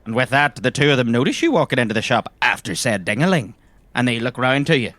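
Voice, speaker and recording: A man's voice: medium pitch, big pitch swings, fast, a bit bright, no room sound. A man with an Irish accent narrating a tabletop game as game master in a descriptive, conversational way.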